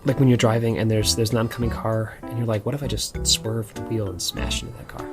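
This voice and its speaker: A man's voice: fairly low pitch, steady tone, fast, over music, lightly acted. A man playing a curious, musing investigator in a naturalistic horror audio drama, speaking hesitantly.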